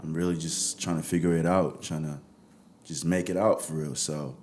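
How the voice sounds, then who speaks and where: A man's voice: very deep voice, fairly even intonation, normal speed, a little mellow. A young Nigerian man with a Nigerian accent, talking casually as a musician guest in an interview.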